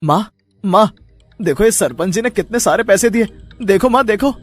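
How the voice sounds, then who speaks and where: An earnest young village lad acted by a man in a cartoon tale, dutiful and eager. His voice is high for a male, with faint music behind it. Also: animated, normal speed, no echo. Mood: happy. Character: hardworking, dutiful young samosa-maker son, eager and polite. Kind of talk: cartoon story dialogue.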